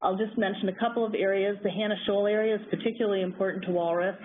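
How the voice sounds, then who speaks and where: Soft-spoken woman lecturing over the phone. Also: medium pitch, fairly even intonation. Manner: soft-spoken, measured, some fillers. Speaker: presenter on marine mammals. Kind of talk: lecture on a conference call. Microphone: phone line. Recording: tinny phone line, faint noise.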